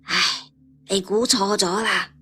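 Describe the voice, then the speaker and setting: A woman's voice: mid-range voice, steady tone, slow pace, a bit bright, breathy edge. Woman as a sly martial arts monk. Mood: calm, smug. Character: sly, smirking martial monk, a grown man.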